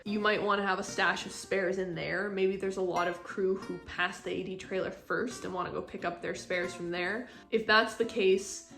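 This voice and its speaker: A woman's voice: medium pitch, a bit bright. A young woman giving casual, informal instruction to camera, explaining things in a chatty way. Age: young adult. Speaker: training instructor, assistant director. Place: living room, indoors. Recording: roomy indoor echo.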